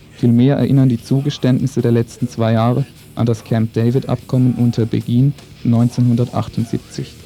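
Very dark-voiced man reading news commentary. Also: mid-range voice. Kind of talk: scripted political news commentary, read aloud.

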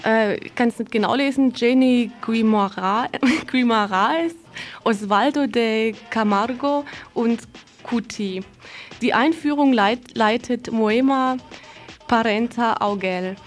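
A woman presenting event listings on the radio, reading informally and a bit haltingly. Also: fairly high pitch. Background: music.

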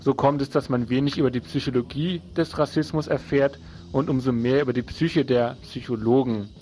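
A man with a medium-pitched voice presents event announcements on the radio. He is informative and explanatory, occasionally halting as he looks for words. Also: fairly even intonation, a little mellow.

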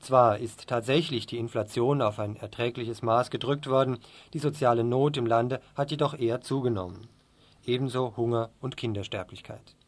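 A man's voice: mid-range voice, steady tone, normal speed. A man presenting a scripted news report on the radio.

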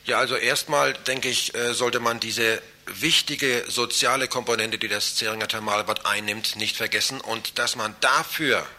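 A man with a very bright, forceful voice at a medium pitch. He gives spontaneous interview answers as a local association spokesman, haltingly, often restarting and rephrasing. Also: fairly even intonation. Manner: halting, repeats and rephrases himself. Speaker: citizens' association chairman, interview guest.

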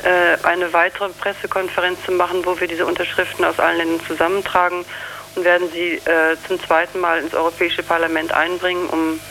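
A spokeswoman explaining in an interview, halting and searching for words. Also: fairly low pitch, flat delivery, fairly bright tone, slight background noise, poor audio. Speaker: campaign spokeswoman being interviewed.

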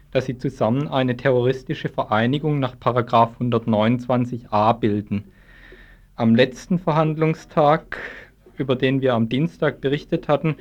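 Male radio news host, medium pitch, halting delivery, dull lo-fi broadcast sound. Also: darkish tone. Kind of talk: news introduction.

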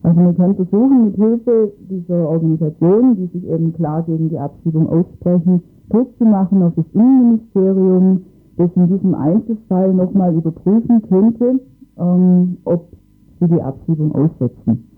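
Higher-pitched man on a phone-like recording. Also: normal speed, faint music behind. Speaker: interviewed expert on asylum cases. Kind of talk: radio interview, explanatory talk.

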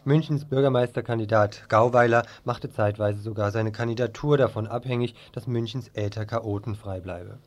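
A man's voice: lowish voice, normal speed, few pauses. A man working as a radio news presenter, reading reports and introductions from a script.

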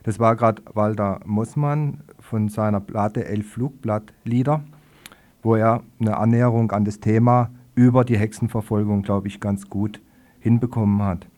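A man's voice: on the low side, steady tone, unhurried, a little mellow. A man hosting a live studio radio interview in an informal, slightly hesitant way, searching for his words. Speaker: radio host.

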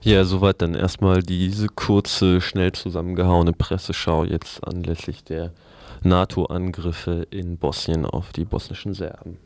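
A man presenting a press review on the radio, speaking haltingly and searching for words as he comments. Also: sits low, little pitch movement, a little mellow, dry recording.

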